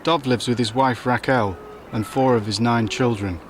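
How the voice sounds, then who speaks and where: A man's voice: mid-range voice, fairly even intonation, normal speed, music in the background. A man reading scripted documentary narration in a plain, matter-of-fact way.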